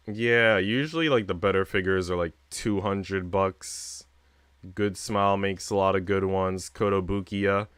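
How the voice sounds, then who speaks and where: Guy streamer rambling casually, lots of slang, smooth low voice, really dry sound. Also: a bit bright, no room sound. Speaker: livestreamer. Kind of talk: casual chat with stream viewers.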